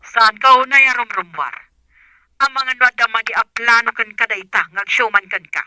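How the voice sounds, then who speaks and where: Concerned advising aunt, Ilocano accent, telephone effect, very sharp highish voice, radio drama. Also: female voice, normal speed, no room sound, rough recording. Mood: concerned, urging. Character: concerned aunt abroad giving advice by phone. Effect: telephone filter.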